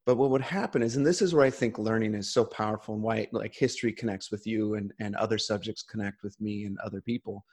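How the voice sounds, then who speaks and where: A man's voice: on the low side, steady tone, fast talker, hardly any pauses, darkish tone, slightly rough. A man in casual conversation, talking earnestly with frequent restarts.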